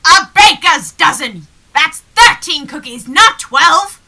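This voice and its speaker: A teenage actress playing a poor, stubborn old woman in a high school radio play, declaiming insistently and theatrically. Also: lively intonation, slow pace, bright, forceful tone, heavily acted. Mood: indignant.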